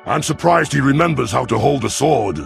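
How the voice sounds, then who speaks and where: Sci-fi audio story: arrogant young reptile hunter performed by a man, thick vocal gravel, sneering, faint music bed. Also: mid-range voice, animated, a little fast, pitched above the performer's own voice. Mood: boastful, scornful. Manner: boastful, sneering. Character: young alien reptilian chief hunter, arrogant, taunting brute.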